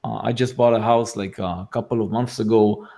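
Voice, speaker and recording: A man with an Israeli accent, a guest in a casual chat, who speaks haltingly with restarts and fillers. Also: fairly low pitch, fairly even intonation, vocal fry, dark tone. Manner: halting, many restarts and fillers, laughs between points.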